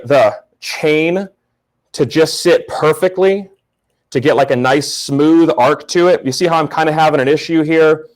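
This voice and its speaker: A young man from Florida with a loud voice, teaching a casual live photography workshop and talking to viewers in the chat. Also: fairly high pitch. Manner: casual, upbeat, talks to chat. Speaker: photography instructor.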